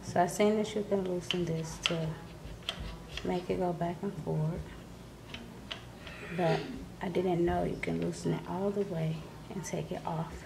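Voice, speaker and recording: Hushed, gravelly-voiced woman chatting casually. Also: fairly low pitch, fairly even intonation, a little slow, pauses often. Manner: hushed, keeping voice down. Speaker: vlogger. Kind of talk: casual product demonstration chat. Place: living room at home, indoors. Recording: noisy home camera recording.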